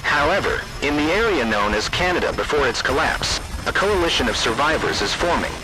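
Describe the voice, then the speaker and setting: A man's voice: fairly high pitch, sing-song, over music, rough recording. Man reading a formal emergency broadcast. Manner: formal, deliberate announcing. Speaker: emergency alert announcer. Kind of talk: scripted emergency broadcast narration.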